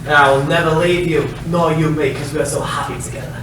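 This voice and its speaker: A man's voice: on the high side, steady tone, quick pace, close to an everyday voice. A man playing a naive, lovestruck stage lover in a hammy, earnest comic reading. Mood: smug, adoring. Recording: echoey room from far off, faint noise.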